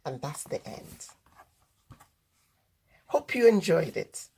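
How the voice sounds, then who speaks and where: A woman reading a children's story aloud as host, in deliberate, expressive narration. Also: sits low, breathy edge.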